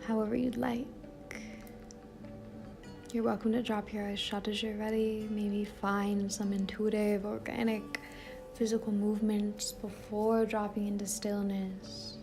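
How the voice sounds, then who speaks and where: Woman, meditation guide, gentle and hushed, even pitch, gravelly fry, improvised flowing delivery. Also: a little slow, music in the background. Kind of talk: impromptu guided meditation with casual rambling introduction.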